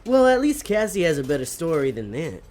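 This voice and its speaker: A man's voice: on the high side, sing-song, fairly quick, a little mellow. A man playing an earnest, sentimental demon sidekick in an audio comedy drama, sincere and slightly pedantic. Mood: matter-of-fact.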